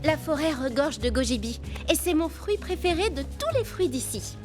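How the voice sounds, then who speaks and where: A woman's voice: sits high, big pitch swings, moderate pace, loud music behind the voice. A woman voicing a spirited, sensible young forest girl in a cartoon, forthright and skeptical. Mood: confident. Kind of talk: French cartoon voice acting.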